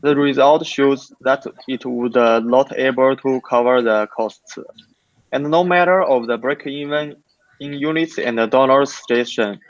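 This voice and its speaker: Halting student, dry lo-fi sound. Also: male voice, medium pitch, no echo. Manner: halting, many fillers. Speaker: student presenter. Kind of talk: class presentation.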